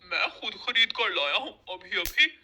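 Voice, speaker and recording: A woman's voice: medium pitch, sing-song, measured pace, sharp tone, heavily acted, rough recording. A woman voicing a lazy, scheming male crow in a cartoon story, whining and self-justifying. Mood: defiant.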